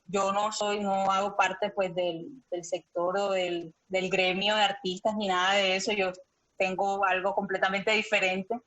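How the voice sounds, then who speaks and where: A woman's voice: medium pitch, level tone, a little slow, a bit bright, highs cut off. A woman with a Colombian accent giving casual, hesitant feedback as an audience member on a video call.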